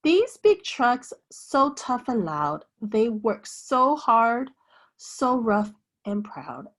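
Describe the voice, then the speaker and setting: A woman reads a picture book aloud in a fairly high voice that swoops widely in pitch. She takes her time over each phrase, with the sing-song expressiveness of a teacher telling a bedtime story to young children. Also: very animated. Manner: slow, sing-song delivery with hushed bedtime shushes.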